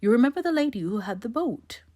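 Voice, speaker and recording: A woman voicing a composed, authoritative military gentleman in an audiobook, measured and dry yet kindly. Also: on the high side, big pitch swings, pitched above the performer's own voice. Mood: gentle, probing. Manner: measured, reassuring, questioning.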